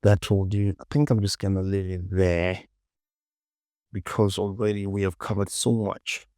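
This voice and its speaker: A man presenting a casual software tutorial, informally talking himself through the work and often repeating words, close to the mic. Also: deep voice, flat delivery, normal speed, no echo.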